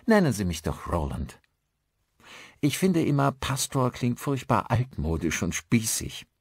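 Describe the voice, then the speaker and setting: A man's voice: medium pitch, very animated, measured pace, husky, dry recording, studio quality, full character voice. A man voicing a friendly, married village pastor in an audiobook, courteous and talkative. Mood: affable.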